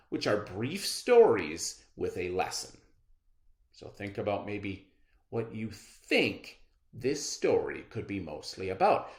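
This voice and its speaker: A man leading a read-aloud lesson for children. His delivery is unhurried and extremely expressive, with rhetorical questions to keep listeners thinking. The room adds a clear echo. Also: medium pitch. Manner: expressive, instructive, asks rhetorical questions with check-ins. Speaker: elementary school teacher. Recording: echoey room sound.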